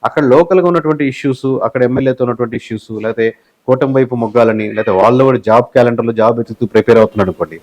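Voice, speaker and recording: Male Telugu interviewee, analyst type. Rapid-fire, explanatory delivery, medium pitch, English phrases mixed into his answers. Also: a little mellow, dull audio. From Telugu-speaking southern India. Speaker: guest, election survey company head.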